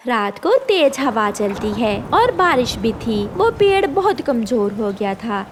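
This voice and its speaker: High-voiced woman narrating a children's story. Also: noisy background. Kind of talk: scripted children's cartoon narration.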